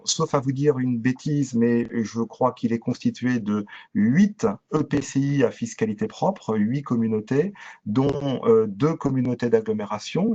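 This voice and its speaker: The sound is slightly dull, like a video conference. A man with a medium-pitched voice presents training material in a formal, methodical way. He halts often, stretching filler sounds as he works through each explanation. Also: darkish tone. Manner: very hesitant, halting delivery with constant drawn-out filler sounds. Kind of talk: explanatory online presentation to local councillors. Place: video conference with shared screen. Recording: dull, lo-fi video-call audio.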